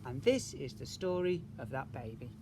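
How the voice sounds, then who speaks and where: A man's voice: medium pitch, very animated, normal speed, noisy background. A man reading a picture book to young children as a teacher, emphatically, with rhetorical questions and asides. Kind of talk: animated picture-book storytelling.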